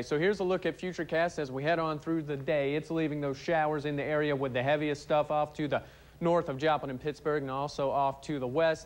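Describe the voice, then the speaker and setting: A man presenting an ad-libbed morning weather forecast and chatting as co-presenter in a TV studio. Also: a little high. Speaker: TV meteorologist and co-presenter. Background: faint music, director's cues talking over him.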